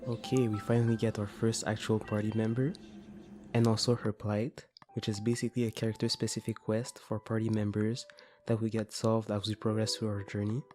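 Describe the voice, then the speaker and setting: A man's voice: lowish voice, little pitch movement, brisk, darkish tone, soft background music. A man giving explanatory let's play commentary as a voice-over on a video game. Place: voice-over recorded over game music.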